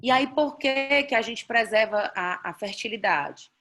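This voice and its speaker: A woman's voice: a little high, lively intonation, measured pace, a bit bright. A woman doctor with a Brazilian Portuguese accent giving an online class, explaining slides step by step and often checking in with "né?". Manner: explanatory, frequent "né?" tags and restarts. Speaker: doctor teaching a fertility class. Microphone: video call.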